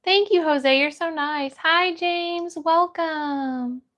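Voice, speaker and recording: High-pitched American woman chatting on a livestream. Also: slow, a bit bright. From United States. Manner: friendly, encouraging, greets people by name. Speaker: livestream host. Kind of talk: casual livestream chat, greeting viewers and reading comments aloud.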